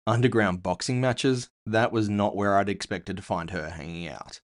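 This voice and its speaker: A gritty, low-pitched bounty hunter played by a man tells his own cyberpunk fantasy tale. He is world-weary and terse, speaking as a scripted first-person storyteller. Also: normal speed, lightly acted. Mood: brooding. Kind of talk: scripted audio fiction narration.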